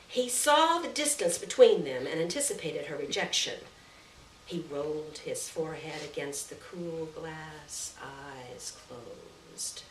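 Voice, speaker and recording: A woman reading a literary short story aloud at a live event, in a measured, deliberate storytelling manner with dramatic pauses. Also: on the low side, a bit bright. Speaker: reader of literary short fiction. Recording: echoey room, distant mic, faint noise.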